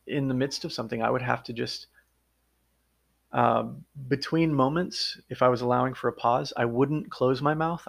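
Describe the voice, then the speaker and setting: Guy rambling on his solo podcast, bit of fry in his voice, mid pitch, earnest and chatty. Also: fairly bright tone. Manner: earnest, conversational, explains step by step. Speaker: podcast host and audiobook narrator. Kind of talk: casual solo podcast monologue.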